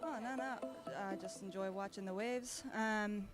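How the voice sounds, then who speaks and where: Relaxed woman athlete, loud music behind. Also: medium pitch, normal speed. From Australia. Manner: relaxed, rambling, many fillers. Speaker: competing bodysurfer. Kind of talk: casual interview. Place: outdoor beach event.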